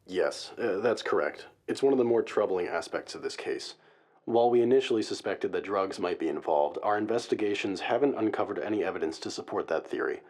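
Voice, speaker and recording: A man's voice: fairly low pitch, brisk, darkish tone. A man playing a serious, guarded crisis negotiator in an audio-drama radio interview, speaking formally and analytically. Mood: measured, concerned. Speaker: guest expert, hostage negotiator.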